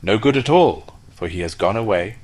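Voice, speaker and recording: A man's voice: on the low side, expressive, a little fast, no echo. A man voicing a male dragonfly who speaks in a curt, dismissive way with a raised voice.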